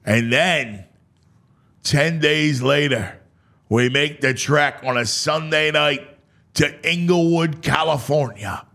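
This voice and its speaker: A man hosting a sports talk show, mixing dramatic, drawn-out delivery with casual, offhand asides. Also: fairly high pitch, gravelly, sharp tone.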